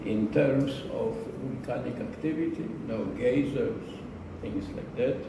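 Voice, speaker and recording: A man giving a prepared speech to an audience in a slow, deliberate manner, repeating phrases for emphasis. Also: medium pitch. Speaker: club speaker giving a speech. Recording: echoey room, distant mic, noisy.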